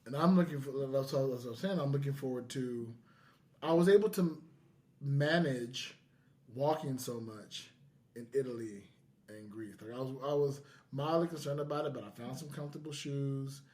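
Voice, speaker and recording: A man's voice: medium pitch, normal speed, a little mellow. A man co-hosting a casual podcast chat, talking in an enthusiastic, effusive way. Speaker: podcast co-host.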